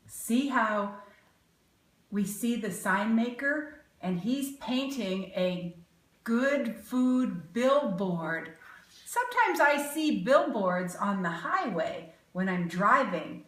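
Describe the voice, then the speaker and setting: Animated woman reading aloud in an echoey room. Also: on the high side, slow. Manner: animated, expressive, exaggerated emphasis for children. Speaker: children's picture book reader. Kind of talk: read-aloud storytelling with questions to young listeners. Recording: roomy, echoing sound.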